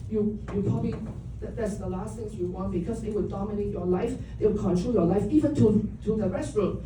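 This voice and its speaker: A woman from Hong Kong giving a public comment at a city council meeting, speaking urgently and stumbling over repeated words. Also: medium pitch. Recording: echoey chamber, far mic, noisy.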